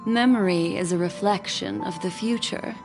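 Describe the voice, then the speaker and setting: An actress playing a mysterious, alluring woman who speaks in soft, slow, philosophical musings about memory in a game. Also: mid-range voice, gravelly, music in the background, close to an everyday voice. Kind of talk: game voice acting.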